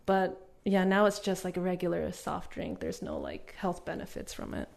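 A woman's voice: lowish voice, wildly swooping pitch, moderate pace, gravelly, darkish tone. A young American woman from North Carolina in her twenties, chatting as a guest on a podcast, upbeat and full of "yeah" and "like". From North Carolina, USA. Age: 20s. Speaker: guest, English teacher. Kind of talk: casual interview chat. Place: podcast studio.

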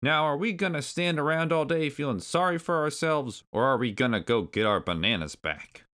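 Storybook role: man performs rough, scolding old granddad ape, bright and forceful, lifted pitch. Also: expressive, normal speed. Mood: exasperated. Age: older. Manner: grumbling, exclamatory old-timer phrasing.

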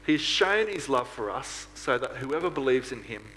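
A man's voice: mid-range voice, sing-song, moderate pace, bright, forceful tone, slight background noise, low-quality recording. A man preaching a sermon to a congregation in an earnest manner, often putting rhetorical questions to his listeners. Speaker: preacher at a church.

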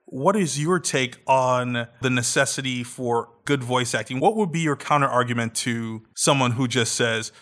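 A man from Trinidad and Tobago, hosting a podcast in animated, enthusiastic chat. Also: medium pitch, moderate pace, few pauses. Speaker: podcast host. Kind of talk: casual chat.

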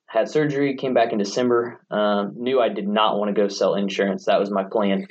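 Low-voiced young man telling his story. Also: brisk. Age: late 20s. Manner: relaxed, filler-heavy storytelling. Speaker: guest, gym owner. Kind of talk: casual interview storytelling. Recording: dull lo-fi sound with faint noise.

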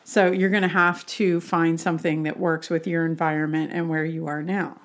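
A woman's voice: low voice, little pitch movement, normal speed. A woman talking as a health coach, explaining things conversationally with questions and examples.

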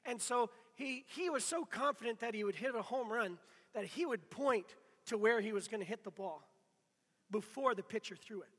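Hoarse middle-aged pastor, chatty and rambling, high-pitched, big hall echo. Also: male voice, expressive, moderate pace, a bit bright. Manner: conversational, self-deprecating, many restarts. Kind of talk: casual sermon.

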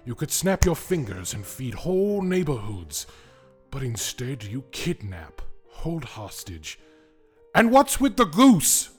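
A man voicing a gruff, blunt male hero who scolds a ghost. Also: medium pitch, sing-song, a little slow, a little mellow, soft background music. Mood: scolding.

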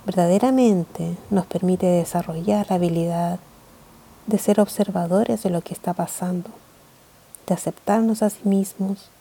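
A woman leading a guided meditation in a calm, gentle, measured way of speaking. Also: on the low side, gravelly, breathy edge, poor audio.

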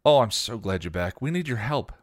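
A man's voice: medium pitch, animated, brisk, dry recording, studio quality, pitched above the performer's own voice, lightly acted. A man voicing an earnest, pleading teenage girl in a read-aloud story.

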